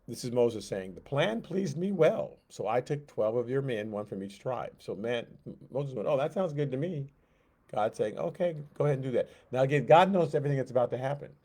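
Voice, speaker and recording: A man teaching a Sunday school Bible lesson in a conversational way, acting out the figures in quick asides. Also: mid-range voice, mellow. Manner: conversational, acts out God and Moses in quick asides.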